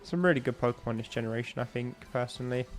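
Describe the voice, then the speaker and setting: A man's voice: medium pitch, rapid-fire, soft background music. A young man with a stuffy, bunged-up nose, chatting casually and rambling with lots of ums while he streams a game. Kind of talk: casual live-stream chat while playing.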